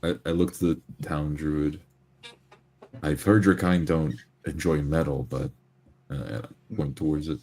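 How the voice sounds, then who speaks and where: An extremely low-pitched male voice in a tabletop role-playing session. He improvises what his character says and does, halting now and then, with a restrained delivery. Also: fairly even intonation, a little mellow. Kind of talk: improvised in-character play and action description.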